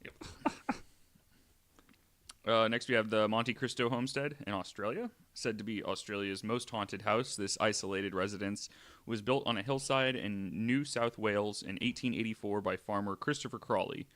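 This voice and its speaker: A young adult man hosting a podcast, reading from notes with frequent "uh" fillers and adding wry, deadpan asides. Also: fairly low pitch, moderate pace. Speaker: podcast host.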